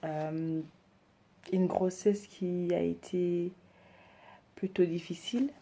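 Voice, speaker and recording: A woman in her thirties, from Rwanda and raised in Belgium, introducing herself and her decor business, hesitant with many pauses. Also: on the low side, fairly even intonation, mellow, rough recording. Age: 30s. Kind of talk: personal introduction to camera.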